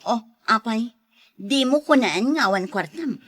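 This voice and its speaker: A woman's voice: on the high side, expressive, a little slow, fairly bright tone. A woman acting a bossy, merciless moneylender and nagging wife in a radio drama, with a scolding, demanding manner. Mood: nagging, scornful.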